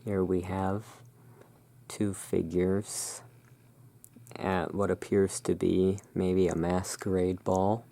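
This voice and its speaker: An ASMR host in a gothic shopkeeper roleplay, describing pictures in a hushed, unhurried, musing way. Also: deep voice, little pitch movement, vocal fry. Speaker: host of a roleplay as a curiosity emporium owner. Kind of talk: ASMR roleplay, describing tarot card art.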